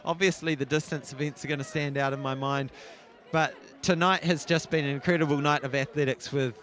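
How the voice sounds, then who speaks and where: A man with a husky voice co-commentating live athletics, enthusiastic and chatty. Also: fairly high pitch, fairly even intonation, fairly quick, fairly bright tone.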